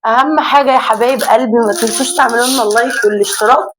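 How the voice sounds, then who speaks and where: Hoarse, quick-talking Egyptian woman vlogger. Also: on the high side, background noise. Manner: chatty, addressing viewers directly. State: hoarse, voice going. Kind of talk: casual home vlog chat. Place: home kitchen and sitting area.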